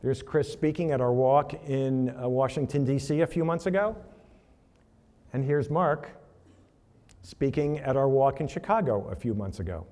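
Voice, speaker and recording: A man's voice: mid-range voice, animated, moderate pace, a little mellow. A man giving a casual speech at a charity reception, telling stories over slides with fillers. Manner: casual storytelling with fillers, asks for next slide. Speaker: charity event presenter. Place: banquet hall. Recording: reverberant hall.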